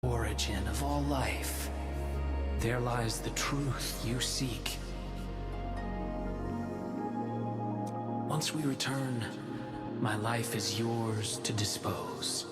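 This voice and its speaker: A man's voice: medium pitch, close to an everyday voice. An actor playing an ancient, world-weary male villain in a video game, speaking solemnly and poetically. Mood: solemn, beckoning. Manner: solemn, slow, theatrical, poetic phrasing. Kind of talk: dramatic video game voice acting. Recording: loud music over the voice. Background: loud game music.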